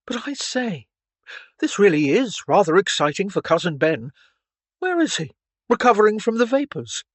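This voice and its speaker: A narrator voicing a dry-witted, mocking young gentleman in an audiobook, teasing his cousins. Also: mid-range voice, very animated, moderate pace, dry recording, pitched above the performer's own voice. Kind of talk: audiobook character dialogue.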